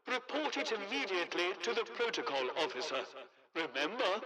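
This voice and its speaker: High male voice, loudspeaker effect, authoritarian military announcer, clipped, officious, video game propaganda, background voices. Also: rough recording. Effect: village loudspeaker, radio-like PA. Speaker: public address announcer.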